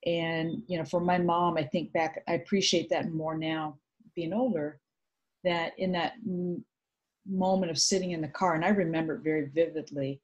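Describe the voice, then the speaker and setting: A middle-aged woman from Vietnam, Vietnamese her first language, telling her story thoughtfully in a video call interview with many pauses. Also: low voice, steady tone, highs cut off. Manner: reflective, hesitant with many fillers. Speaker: guest. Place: home room indoors. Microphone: video call webcam.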